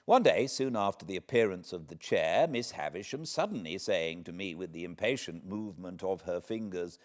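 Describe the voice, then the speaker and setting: A man, reading a classic novel aloud in measured, expressive storytelling. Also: deep voice. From Britain. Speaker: audiobook narrator. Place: cozy home spot beside a fireplace.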